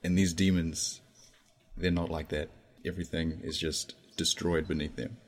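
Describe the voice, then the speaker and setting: A man voicing a male tiefling druid who insists on his innocence, in a low, earnest way. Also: flat delivery, moderate pace. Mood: defensive.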